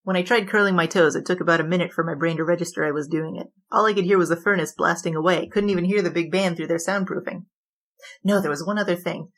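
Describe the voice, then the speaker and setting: A woman's voice: lowish voice, fairly even intonation, fast, close to an everyday voice. A woman playing a brash, boastful young bandit king who tells wisecracking, slangy stories in a 1920s noir comedy audio drama, with music behind. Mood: rueful storytelling. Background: background music.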